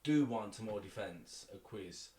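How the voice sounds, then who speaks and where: A young man from England with a lowish voice laughs easily and swears on a casual football podcast. He sits back from the mic in an echoey room. A faint hum of ambience runs behind him. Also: animated, unhurried, dark tone. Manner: giggly, easily amused, sweary. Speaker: podcast co-host. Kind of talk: casual chat. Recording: roomy, distant mic.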